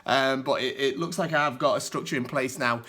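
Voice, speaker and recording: A man with a bright, forceful tone worn hoarse by a cold talks straight to camera. He answers viewer questions in a relaxed, rambling way, full of asides. His pitch sits in the middle of the range. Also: a little fast. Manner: relaxed, explains at length with many asides. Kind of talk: casual solo vlog talk.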